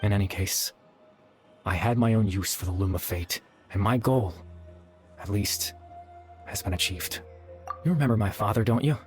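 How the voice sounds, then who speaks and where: An actor playing a gentle, weary young man in a video game, speaking in a soft, measured, wistful way. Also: fairly low pitch, faint music behind, natural voice. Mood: apologetic, resigned. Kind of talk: dramatic video game dialogue.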